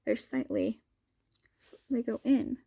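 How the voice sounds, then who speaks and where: A woman's voice: slow, dark tone, dry recording, studio quality. A young woman with a sniffly cold, chatting informally and thinking aloud as she presents a student vlog. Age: young adult. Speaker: student vlogger. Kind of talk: casual chatty vlog walkthrough.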